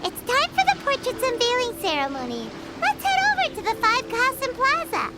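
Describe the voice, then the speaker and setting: Tiny childlike game fairy, extremely high squeaky voice, wildly animated delivery, excitable, over prominent music. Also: female voice, normal speed. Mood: upbeat. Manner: refers to self in third person, exclamatory. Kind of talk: animated video game voice acting.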